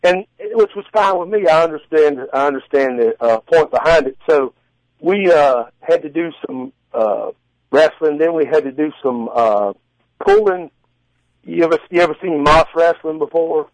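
Higher-voiced middle-aged man, folksy and halting. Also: sing-song, no room sound, rough recording. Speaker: guest, strongman competitor and contest judge. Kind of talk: casual interview answers and storytelling.

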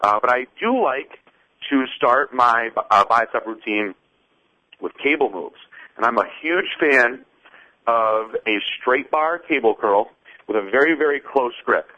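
Bright-voiced thirty-year-old man explaining training. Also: mid-range voice, measured pace, low-quality recording. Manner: relaxed, explains step by step. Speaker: fitness guest. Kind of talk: casual interview answers.

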